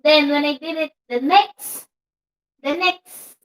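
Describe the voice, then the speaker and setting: A woman's voice: high voice, slow pace, fairly bright tone. A young woman with a Filipino accent, chatting casually and playfully on a vlog, laughing often and slipping into Tagalog. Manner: giggly, playful, mixes English with Tagalog. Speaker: vlogger. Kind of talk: casual chat.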